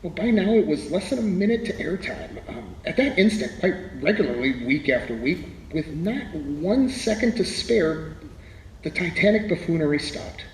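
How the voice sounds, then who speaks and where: A man's voice: a little high, sing-song, normal speed, a little mellow. A man playing a wry, literary playwright reminiscing as an interview guest in a radio drama, with hesitant starts. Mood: dramatic. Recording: echoey hall, faint background noise.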